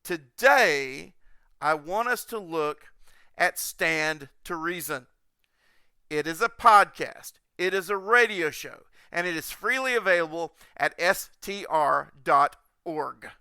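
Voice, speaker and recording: Bright, clear-voiced man hosting a podcast. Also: a little high, expressive, takes it slowly, dry recording, clean studio sound. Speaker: podcast host.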